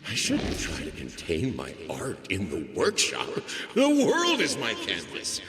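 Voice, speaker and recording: A man's voice: on the low side, huge pitch swings, measured pace, exaggerated voice. A man voicing a tormented, grandiose painter in a horror game, theatrical and volatile in his monologue. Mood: grandiose, musing. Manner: theatrical, swings from brooding murmur to frantic outburst. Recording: long hall reverb, clear background noise.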